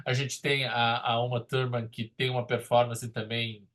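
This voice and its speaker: A man casually discussing film as a podcast host, animated and opinionated. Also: mid-range voice, moderate pace.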